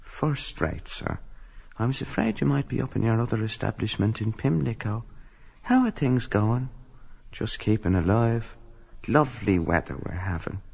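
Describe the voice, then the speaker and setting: An actor playing a chatty, self-satisfied middle-aged salesman, genial and gossipy, with a husky, creaky voice in a literary audio drama. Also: lowish voice, expressive, normal speed, darkish tone, exaggerated voice. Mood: genial, pleased. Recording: reverberant, slightly dull recording.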